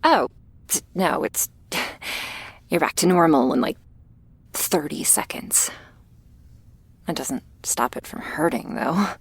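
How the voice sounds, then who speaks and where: A woman playing a shy, gentle vampire girl in an ASMR roleplay, speaking softly and hesitantly, awkward but reassuring, often slipping into a whisper. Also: low voice, expressive, dry recording, exaggerated voice. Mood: awkward, cautioning. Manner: hesitant, halting, soft-spoken with breathy whispers. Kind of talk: ASMR roleplay addressed to the listener.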